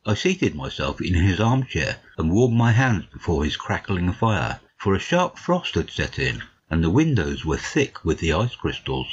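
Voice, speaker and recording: A man playing a courteous gentleman narrator, telling a detective story in a measured, literary way, on a dull recording. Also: deep voice, big pitch swings, slightly rough, heavily acted.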